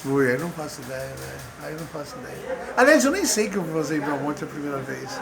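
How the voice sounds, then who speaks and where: A man's voice: on the high side, very animated, fast, creaky, crowd noise. A man doing a playful street interview, teasing and chatty as he asks his questions.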